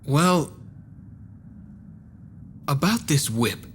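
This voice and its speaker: A man's voice: fairly high pitch, big pitch swings, extremely slow, a bit bright, slight background noise, exaggerated voice. An actor playing a stoic, resolute male monster hunter in a video game cutscene, speaking tersely and earnestly. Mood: questioning.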